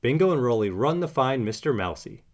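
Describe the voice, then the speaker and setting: A man narrating a children's picture book in an animated read-aloud style. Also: mid-range voice, fairly quick. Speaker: storybook narrator.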